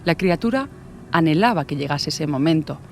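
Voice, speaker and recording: A man's voice: on the high side, expressive, normal speed, vocal fry, slight background noise. A man reading a scripted true-crime narration as the storyteller.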